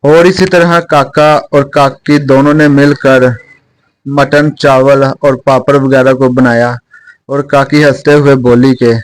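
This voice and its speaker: A man's voice: medium pitch, little pitch movement, takes it slowly, rough recording. A man narrating a scripted moral story as a storyteller.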